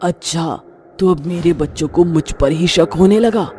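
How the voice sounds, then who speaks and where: A woman's voice: fairly low pitch, a little slow, dark tone, a little hiss or hum, pitched below the performer's own voice, natural voice. A woman voicing a fierce, protective witch mother, a vengeful ghost with a menacing, aggrieved manner, in an audio horror story. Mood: offended.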